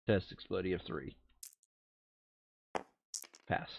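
A man's voice: low voice, takes it slowly, creaky, slight background noise. A man chatting casually and jokingly as he plays a tabletop game with a friend. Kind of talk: casual gameplay chat. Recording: slightly dull, dry close recording.

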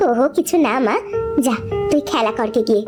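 A woman's voice: a little high, brisk, music in the background, lightly acted. A woman voicing a poor village mother in a cartoon, tender and plaintive, a servant who cooks for a master. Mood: sad, tender. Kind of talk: cartoon voice acting.

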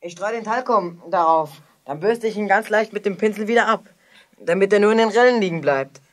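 A woman's voice: medium pitch, brisk, natural voice. A woman playing a clever, self-assured young detective, confident and explanatory, in a children's audio drama. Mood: confident deduction.

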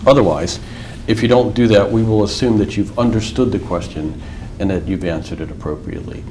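A man questioning a witness as an attorney in a formal deposition, measured with filler pauses. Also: lowish voice, darkish tone, a little hiss or hum.

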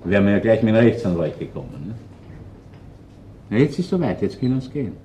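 An actor playing a gruff, shrewd middle-aged Viennese chief inspector in a radio crime drama, speaking bluntly and colloquially. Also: male voice, lowish voice, big pitch swings, fast talker, mellow, a little hiss or hum. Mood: brisk, commanding. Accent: Viennese Austrian. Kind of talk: German-language radio crime drama acting.

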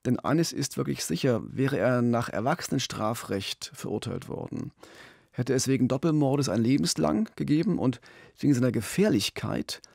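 A middle-aged man recounts crime cases as a podcast host. His voice has a gravelly edge at an ordinary male pitch. He speaks close to a studio mic in a dry, echo-free room. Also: lively intonation, moderate pace, darkish tone. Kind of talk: conversational true-crime storytelling. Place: small radio studio. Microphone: close studio microphone with pop filter, headphones.

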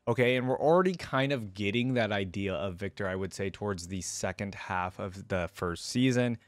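A man's voice: lowish voice, moderate pace, dry recording. A young man giving casual reaction commentary and reading aloud, musing and speaking straight to his viewers. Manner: conversational, musing, addresses viewers directly. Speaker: host of a gaming lore reaction channel. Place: indoor room at a desk, gaming chair. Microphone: close desk microphone on a stand, headset worn.

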